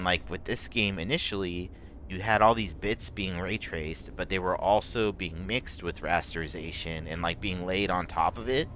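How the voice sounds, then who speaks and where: Low, halting male game streamer. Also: bright, forceful tone, a little hiss or hum. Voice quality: rough, strained voice. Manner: rambling, halting, many fillers and restarts. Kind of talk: casual gameplay commentary.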